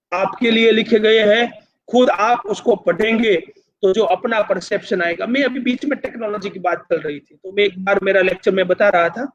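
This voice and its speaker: High-voiced Gujarati man lecturing, lo-fi sound. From Gujarat, India. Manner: emphatic, repeats phrases in parallel, mixes in English terms. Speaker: university vice-chancellor, speaker. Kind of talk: public lecture, explanatory and persuasive.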